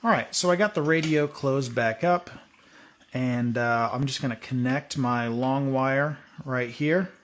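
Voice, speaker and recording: A man hosting a hands-on tutorial, thinking aloud in a relaxed way while working at a workbench. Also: mid-range voice, measured pace. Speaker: video host.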